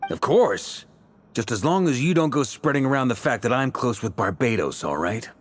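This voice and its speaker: A man's voice: medium pitch, lively intonation, normal speed, slight background noise, natural voice. A man playing a genial, teasing, charismatic knight commander in a video game, with a jovial, theatrical manner. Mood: conspiratorial.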